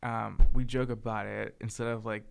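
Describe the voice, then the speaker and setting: A young man co-hosting a casual chat, soft-spoken and hesitant, filling his talk with "like" and "um". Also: fairly low pitch, flat delivery, normal speed, gravelly, smooth. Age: young adult. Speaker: podcast co-host.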